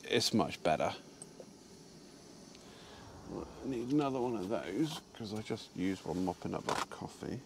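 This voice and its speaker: Rambling trucker, casual chat. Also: male voice, fairly low pitch, measured pace, pauses often, a little hiss or hum. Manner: rambling, relaxed, with many fillers and restarts. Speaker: truck driver vlogging his day. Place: truck cab parked in a lay-by.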